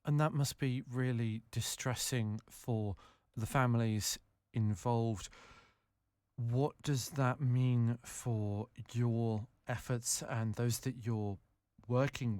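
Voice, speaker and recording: A man interviewing on the radio, putting questions in deliberate, separate phrases. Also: medium pitch, darkish tone, no room sound.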